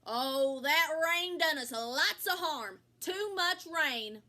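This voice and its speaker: A woman's voice: high voice, expressive, slow, bright, forceful tone, full character voice. A woman with an American accent playing a chatty, folksy rural farm wife in an amateur play. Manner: folksy, exclamatory country talk. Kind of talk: amateur stage-play acting.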